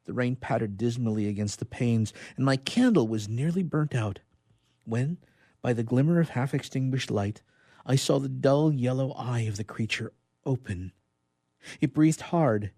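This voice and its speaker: A man voicing an anguished scientist in a formal, dramatic reading of a gothic novel, with a husky voice. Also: mid-range voice, darkish tone, no room sound, studio quality. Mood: grave dread. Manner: formal, literary, horror-stricken. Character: anguished young male scientist recounting his horrifying creation.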